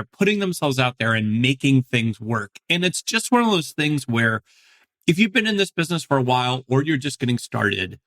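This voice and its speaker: A hoarse man with a cold hosting a podcast, chatting enthusiastically and joking at his own expense. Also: mid-range voice, fairly bright tone. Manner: chatty, enthusiastic, self-deprecating jokes. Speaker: podcast host. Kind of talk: casual interview chat.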